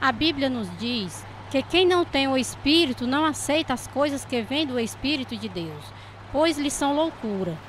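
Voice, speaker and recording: Earnest preachy church shelter lady, highish voice, brazilian accent, radio play, traffic noise around. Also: lively intonation, moderate pace, rough recording. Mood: earnest, devout. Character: devout, patient woman charity worker at gospel shelter. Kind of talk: radio drama dialogue.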